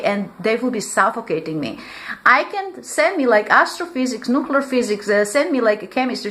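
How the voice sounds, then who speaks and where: A woman's voice: fairly high pitch, fairly bright tone. A woman speaking English as a second language in a rambling vlog monologue. She fills her talk with "like" and restarts often, with small grammar slips and laughs between phrases. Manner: rambling, heavy use of "like" fillers, frequent restarts. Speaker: vlogger.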